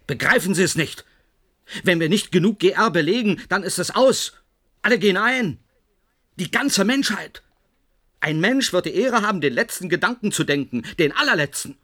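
A man's voice: sits high, a bit bright. Domineering, impatient male project chief. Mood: urgent, dire. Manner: commanding, insistent, deliberate. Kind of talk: German radio play acting.

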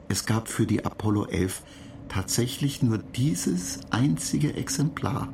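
Here is a polite, slightly touchy computer program, in a radio play. The voice is gravelly and mid-pitched, explaining things earnestly and with measured care. Noisy ambience runs behind it. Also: male voice.

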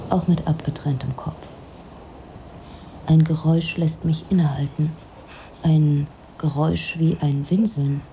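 A woman's voice: deep voice, lo-fi sound, slight background noise. An actress playing a weary, detached, lonely woman who narrates her own story in a dry, introspective way for a radio drama. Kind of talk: literary first-person radio drama narration.

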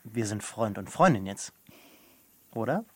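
A man's voice: lowish voice, sing-song, a little slow, a little mellow, breathy edge, dry recording, heavily acted. A man playing a shy, reserved teenage boyfriend, terse and hesitant, in a German radio drama. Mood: teasing, tentative.

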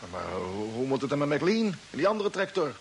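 Lively, wary spaceship commander. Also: male voice, medium pitch, normal speed, gravelly. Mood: anxious, conceding. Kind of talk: radio play acting. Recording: hissy old radio broadcast, faint noise.

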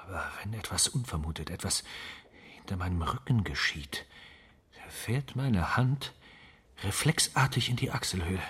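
An actor playing a brooding, suspicious, intense man in a radio crime drama, with a husky voice. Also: animated, moderate pace, a bit bright, heavily acted. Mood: brooding, uneasy. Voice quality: very low, husky.

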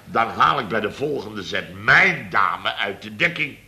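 An actor playing a blustering, indignant boss of a building firm in a Dutch radio comedy, exclaiming and grumbling. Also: male voice, on the low side, expressive, unhurried, pushed and bright, exaggerated voice. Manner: exclamatory, grumbling, tags sentences with "hè" and "zeg".

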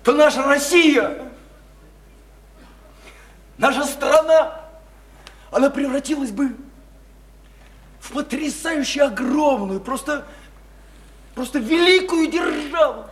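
A very high-pitched male idealist, preaches in a theatrical radio drama. He stammers and repeats himself, building grand, fervent oratory. The recording is echoey, with noise behind the voice. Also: unhurried, pauses often. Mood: preachy, exalted. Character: impassioned idealistic newcomer preaching reform to townswomen. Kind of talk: theatrical radio play acting. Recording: noisy, echoey stage-like radio play recording.

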